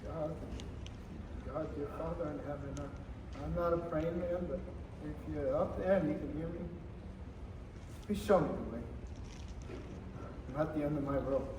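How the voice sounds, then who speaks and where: A man's voice: a little high, unhurried, long pauses, lightly acted. A young man playing a desperate, pleading family man in a stage radio play, anguished and faltering. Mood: broken, praying. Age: teenager or young adult. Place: school theater stage. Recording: echoey stage, distant mic, faint noise.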